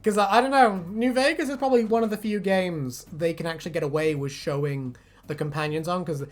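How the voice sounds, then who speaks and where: A woman's voice: fairly low pitch, brisk. A woman chatting casually and jokily as a co-host, swearing freely and prone to giggling. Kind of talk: casual banter, reacting to fan comments.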